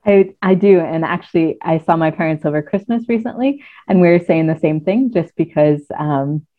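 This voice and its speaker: A woman with a low, deep voice and an accent that blends American and British sounds. She speaks thoughtfully, often pausing to search for words. Her voice comes through a thin, phone-like remote call line. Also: expressive. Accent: mixed American and British. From United States. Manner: thoughtful, hesitant with frequent ums. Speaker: guest, coach. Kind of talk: casual interview chat. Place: at home near Cardiff.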